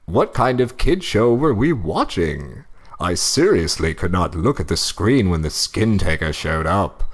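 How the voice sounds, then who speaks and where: A man's voice: low voice, sing-song, unhurried, exaggerated voice. A man playing a pompous, fussy old husband reading a horror story aloud for comedy. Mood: dramatic reading. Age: older.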